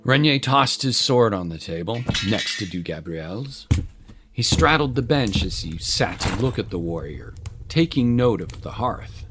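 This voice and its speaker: A man's voice: fairly low pitch, animated, a little slow, noisy background. A man narrating a scripted dramatic historical story as its narrator.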